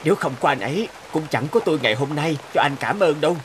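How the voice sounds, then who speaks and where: A man's voice: on the high side, expressive, fast, slight background noise, close to an everyday voice. A man playing a kindly, humble truck driver in a cartoon, speaking in an earnest, reflective storytelling way. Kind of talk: cartoon voice acting.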